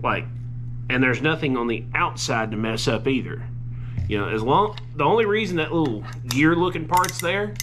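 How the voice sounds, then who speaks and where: A man's voice: medium pitch, moderate pace, background noise. A young man with a drawl, talking through a casual fishing vlog in a folksy, rambling way. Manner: folksy, rambling, excitable when fish bite. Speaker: fishing vlogger. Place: outdoors by a pond, later indoors at home.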